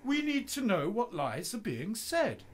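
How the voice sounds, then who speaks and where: Animated, breezy idealistic poet played by a man. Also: sits high, measured pace, a little hiss or hum, heavily acted. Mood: defensive. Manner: breezy, insistent. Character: Shelley, idealistic, breezy, stubborn male poet and husband. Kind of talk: radio play acting.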